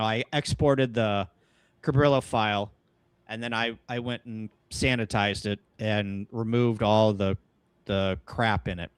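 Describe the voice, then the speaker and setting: A man's voice: mid-range voice, smooth, no room sound. A man hosting a casual technical chat about amateur radio, thinking aloud and often restarting his sentences. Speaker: host and ham radio operator.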